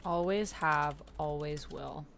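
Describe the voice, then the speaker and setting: A woman's voice: low voice, slow, creaky, slight background noise. A woman playing and hosting a text roleplay, reading out posts and reacting casually and expressively. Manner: expressive, gasping and exclaiming reactions between read passages. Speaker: solo tabletop roleplay player and host.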